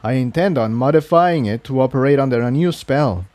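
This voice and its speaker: A clever stallion inventor, acted out by a man, talks with easy confidence. His delivery is matter-of-fact, in a mid-pitched voice for a fantasy storybook. The recording is dry. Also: fairly quick, darkish tone, dry recording, natural voice. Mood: confident.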